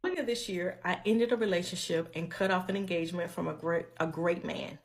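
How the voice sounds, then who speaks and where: A woman's voice: on the low side, fairly quick. A woman in a testimonial video, speaking in an earnest, reflective way about a personal decision.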